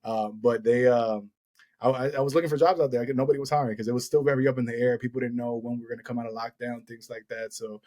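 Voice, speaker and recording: A man in his thirties hosts a casual podcast chat. He tells his stories in a rambling way, often restarting mid-sentence and saying "like". His voice sits at an ordinary middle pitch. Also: steady tone, fast, mellow. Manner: storytelling, many restarts and "like". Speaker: podcast host.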